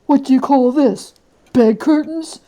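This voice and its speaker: Brief: greedy old male rag dealer acted by a woman, storybook villain, loud and raised in pitch, halting and nosy. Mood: incredulous, prying.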